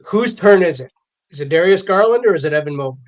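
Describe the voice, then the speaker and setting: A man with a fairly high voice works as a sports show co-host. He talks casually, setting up and asking interview questions. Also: animated, fast.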